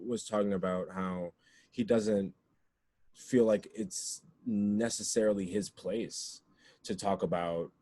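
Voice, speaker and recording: A man's voice: lowish voice, steady tone, slow, warm tone, no echo. A man hosting a casual interview, speaking informally with slang and repeatedly restarting his words. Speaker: interviewer, show host.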